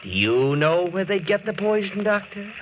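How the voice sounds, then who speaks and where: A man's voice: high voice, big pitch swings, normal speed. An actor playing a paranoid, bitter married man with an accusing, sarcastic manner in 1940s radio drama style. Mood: paranoid, insistent. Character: paranoid, bitter married man fearing murder, hard drinker. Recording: muffled, noisy 1940s radio recording.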